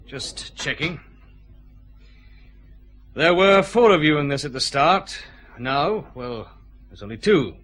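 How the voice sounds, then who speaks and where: A shrewd, relentless Scottish police chief inspector in a radio detective drama. He interrogates in measured, deliberate steps, with a widely varied pitch that lands hard on each accusation. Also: lively intonation, fairly bright tone, exaggerated voice. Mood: probing.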